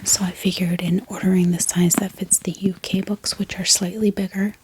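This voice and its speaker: A woman speaking in a hushed, breathy whisper, gently explaining things in an ASMR style. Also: on the low side, little pitch movement. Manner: soft, hushed, unhurried explaining. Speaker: ASMR book haul host. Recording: clear background hiss, handling clicks.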